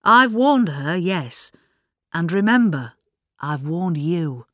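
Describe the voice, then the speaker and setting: An earnest, worried police inspector, voiced by a woman narrator in a storybook. He talks firmly and deliberately, with emphatic swings of pitch and a dry, close sound. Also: big pitch swings, no echo. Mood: warning.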